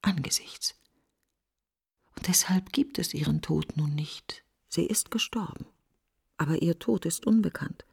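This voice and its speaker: Hushed, creaky-voiced grieving woman. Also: low-pitched, whispered, full character voice. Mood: bleak, brooding. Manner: hushed, halting, fragmentary phrases. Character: grieving woman brooding on her dead best friend. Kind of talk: literary radio-play monologue.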